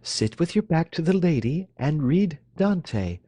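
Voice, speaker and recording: A man pitching up into a coquettish young woman who mocks sweetly and plays with every word. Her voice swoops high and light in a dry, close reading. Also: very animated, unhurried, warm tone, husky, no room sound, full character voice. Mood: teasing. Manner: playful, mocking lilt.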